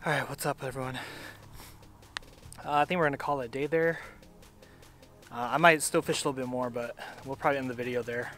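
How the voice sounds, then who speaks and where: A man's voice: mid-range voice, fairly quick, long pauses. Laid-back Minnesotan guy filming by a river. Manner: relaxed, chatty, many fillers. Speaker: fishing vlogger. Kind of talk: casual outdoor fishing vlog. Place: outdoors by a river. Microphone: head-mounted action camera.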